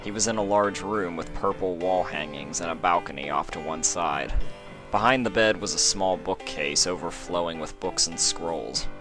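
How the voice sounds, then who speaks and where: A man reading a fan story aloud in even, measured narration. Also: deep voice, over music. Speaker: fanfic reader.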